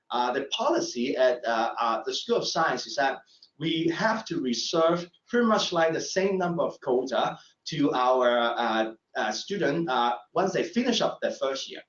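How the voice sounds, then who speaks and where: A middle-aged man, a professor giving a live admissions webinar, speaking haltingly with many fillers and restarts. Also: on the high side. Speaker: professor presenting admissions talk. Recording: echoey room, distant mic, dull sound.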